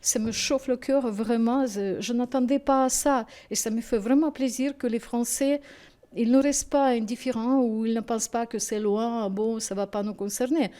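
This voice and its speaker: A tired woman with a Ukrainian accent and a native Russian speaker's French, her voice mellow and pitched a little high. She talks haltingly and emotionally, searching for words after sleepless nights. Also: fairly even intonation. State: exhausted and sleepless. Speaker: studio guest. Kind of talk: television interview.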